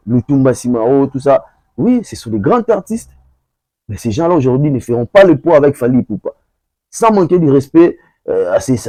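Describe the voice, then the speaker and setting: A man from Congo delivers heated opinion commentary in a warm, mellow voice. He repeats phrases for emphasis and stalls often with hesitations. The sound is close and dry. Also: medium pitch, no room sound, poor audio. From Congo (DRC). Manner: emphatic, repetitive, hesitant with many fillers.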